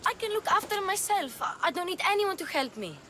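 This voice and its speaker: A plucky little girl in a game cutscene. The voice is high, earnest and pleading, stubborn but affectionate, with faint ambience behind it. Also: big pitch swings, a little fast, sharp tone, a little hiss or hum, heavily acted. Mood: eager, pleading. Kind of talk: video game cutscene acting.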